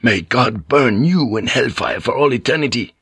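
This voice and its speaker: A man's voice: medium pitch, normal speed, sharp tone, no echo, clean studio sound. A man voicing a stern, hostile Portuguese priest in his twenties, impatient and accusing, in audiobook dialogue. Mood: furious, damning.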